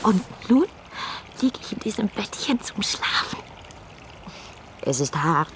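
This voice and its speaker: An actress playing a passionate, romantic young woman in a literary radio play, speaking intimately and poetically to her beloved in a husky, breathy voice. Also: medium pitch, big pitch swings, slow pace, whispered. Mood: intimate, playful.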